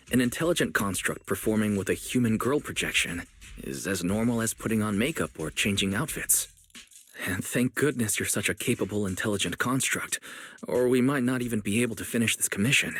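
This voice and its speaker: A man playing a calm, kindly young manager in a video game, talking in a reassuring, encouraging way. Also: on the low side, breathy edge. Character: young male manager and secret proxy, calm, kindly leader.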